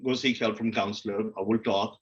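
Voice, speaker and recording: A man's voice: on the low side, fairly even intonation, fairly quick, lo-fi sound. A man speaking candidly and informally as a panel guest, often voicing his inner dialogue in a conversational way. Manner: informal, self-questioning, acts out inner dialogue. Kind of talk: candid conversational panel talk about personal experience.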